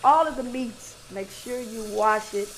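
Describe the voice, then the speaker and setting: A middle-aged woman with a hoarse voice, teaching a cooking lesson in a home kitchen in a motherly, instructive way with talk of God. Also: a little high, a little slow, slight background noise, low-quality recording. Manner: motherly, instructive, breaks into loud laughter. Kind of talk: casual cooking chat with church talk.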